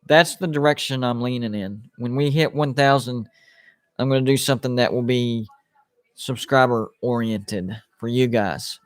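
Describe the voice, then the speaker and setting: A man's voice: mid-range voice, fairly bright tone, dry recording, clean studio sound. A man in his late thirties hosting a live sports watch party stream, chatting casually with viewers and rambling through his thoughts. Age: 30s. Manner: rambling, restarts, lots of "like" and "you know".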